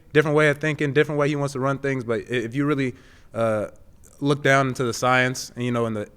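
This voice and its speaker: A young man in his twenties with a smooth, clean voice at an average male pitch. He talks like an athlete in a sports interview, conversationally, circling back on words as he finds his answer. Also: fairly bright tone. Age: 20s. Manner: halting, with repeated words and frequent "you know". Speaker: professional football player being interviewed.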